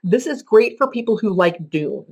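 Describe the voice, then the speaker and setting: A woman with a mid-pitched voice presents as a podcaster. She explains things conversationally, working from loose notes in a countdown format. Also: animated, normal speed, darkish tone, slightly rough. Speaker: podcaster giving book recommendations. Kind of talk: semi-scripted presentation, list countdown.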